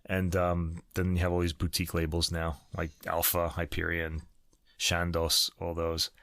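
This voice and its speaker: A man's voice: sits low, completely flat delivery, moderate pace, fairly bright tone, no room sound, studio quality. A man hosting a podcast, chatting casually about classical recordings, rambling with many fillers and restarts. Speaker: podcast host.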